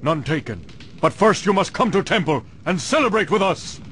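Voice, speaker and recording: An actor playing a big, grateful alien temple leader in a cartoon game, declaiming in simple broken phrases. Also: male voice, high voice, very animated, normal speed, a bit bright, faint music behind. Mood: jubilant, hospitable.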